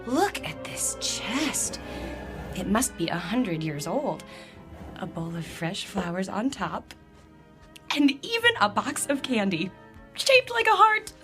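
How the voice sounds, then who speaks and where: An actress playing a cheerful, teasing, romantic wife with an American accent, expressive and coaxing in a radio drama. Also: female voice, mid-range voice, takes it slowly, faint music behind. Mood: delighted.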